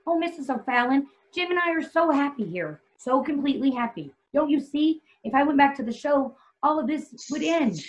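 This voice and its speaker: Woman playing an anxious high-voiced young wife. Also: expressive, normal speed, few pauses. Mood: pleading. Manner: genteel, earnest, placating. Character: anxious, devoted young wife and former showgirl. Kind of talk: stage play table read. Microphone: video call. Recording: dull video-call audio.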